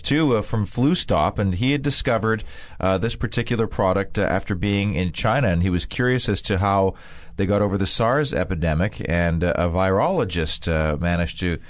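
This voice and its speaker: A man's voice: on the low side, dry recording. A man hosting a radio health show, recapping guests and reading plugs with many fillers. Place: radio studio.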